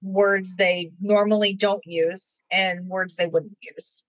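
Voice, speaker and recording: A woman's voice: mid-range voice, fairly even intonation, slow, dry recording. Woman author from michigan, chatty, says like a lot, kinda dull dry sound. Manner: chatty, many "like" fillers. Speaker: novelist guest. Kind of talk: casual interview chat.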